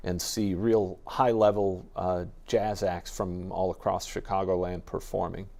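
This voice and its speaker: Low-pitched American man chatting casually. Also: level tone, unhurried, creaky, a little mellow. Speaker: radio station jazz expert and guest. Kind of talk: casual interview chat.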